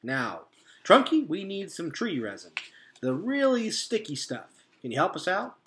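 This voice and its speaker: A man voicing a jolly, confident male beaver handyman in a storybook reading, booming and slow. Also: wildly swooping pitch, a bit bright, a little hiss or hum, exaggerated voice. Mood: hearty.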